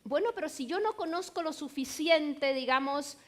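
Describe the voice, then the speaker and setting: A woman's voice: high voice, normal speed, a bit bright. A middle-aged woman novelist whose first language is Romanian, speaking Spanish on a literary panel. She tells stories animatedly, with playful asides. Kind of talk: conversational panel talk.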